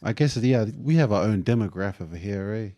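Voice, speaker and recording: A young man chatting casually on a podcast, agreeable and quick to chime in with short affirmations. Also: lowish voice, expressive, dark tone, dry recording. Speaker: podcast co-host. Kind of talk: casual chat.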